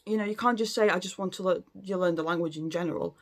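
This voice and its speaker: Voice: medium pitch, fast talker. Vlogger, late 30s, lots of ums and restarts, chatty and unscripted. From Latvia. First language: Latvian. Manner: hesitant, many ums and restarts. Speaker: language learning vlogger. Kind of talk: casual talk to camera.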